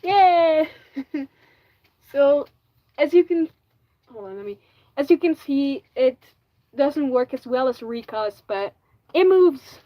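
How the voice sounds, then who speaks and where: Chatty Flemish woman, fairly high voice. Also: lively intonation, takes it slowly, long pauses, lo-fi sound. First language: Dutch (Flemish). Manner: chatty, restarts and self-corrects, frequent "like". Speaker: livestreamer making a fursuit. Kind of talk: casual livestream chat.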